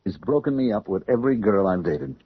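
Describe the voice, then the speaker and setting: An American man playing a tormented, submissive young man in a radio drama, earnest and halting, as if confessing. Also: on the low side, lively intonation, breathy edge, no echo. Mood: anguished, bitter. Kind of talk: 1970s radio drama acting. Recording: muffled old radio drama recording.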